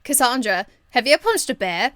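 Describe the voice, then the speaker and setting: Woman acting brash young female rogue, cheeky, excitable, slangy, bright forceful voice, high-pitched, game character. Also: moderate pace, no echo, studio quality. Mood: playful, blunt.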